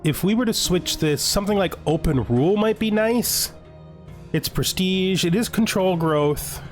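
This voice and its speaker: A man giving casual video game commentary, thinking aloud as he deliberates his choices. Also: sits high, lively intonation. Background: music.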